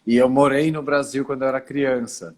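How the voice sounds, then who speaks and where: A man from Italy joins a video call through his phone and talks in a calm, explanatory way. His voice sits at an ordinary middle pitch. He often pauses briefly to collect his thoughts before going on. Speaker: guest, cultural center founder. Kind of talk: casual video call interview.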